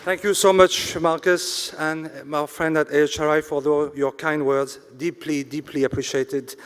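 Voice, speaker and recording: A man's voice: a little high, little pitch movement, measured pace, smooth, some chatter behind. A man hosting a formal event, introducing and thanking speakers with effusive, ornate courtesy. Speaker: master of ceremonies.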